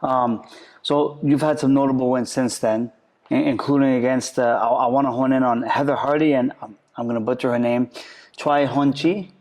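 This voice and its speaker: A man's voice: mid-range voice, fairly even intonation, moderate pace, darkish tone. Man asking stumbling interview questions. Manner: frequent "mm-hmm" and "yeah" backchannels, stumbling repeats. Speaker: podcast host.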